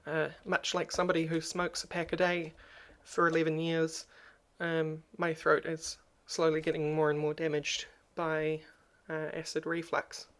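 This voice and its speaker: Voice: mid-range voice, monotone, unhurried, few pauses, fairly bright tone. A YouTuber with a crackly voice, talking in a subdued and hesitant way in a personal vlog, with frequent ums. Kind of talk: personal vlog talk to camera.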